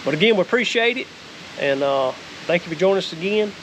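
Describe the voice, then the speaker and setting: A man's voice: high voice, expressive, fast talker. A middle-aged man with a Southern American accent giving a casual, folksy, plain-spoken product review. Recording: faint hiss and outdoor noise.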